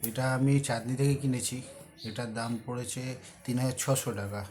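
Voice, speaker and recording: A man's voice: mid-range voice, fairly even intonation, a little fast, darkish tone. A man with an Indian Bengali accent talking casually as a vlogger during an unboxing, informally mixing in English words. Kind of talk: casual unboxing vlog talk. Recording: very noisy amateur vlog recording.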